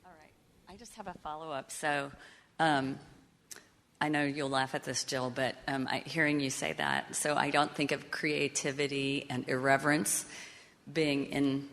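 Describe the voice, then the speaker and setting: A woman moderating an onstage fireside chat, asking questions hesitantly with many pauses. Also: low-pitched, steady tone, a bit bright. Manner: hesitant, many fillers and restarts. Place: conference venue, on stage. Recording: reverberant conference hall.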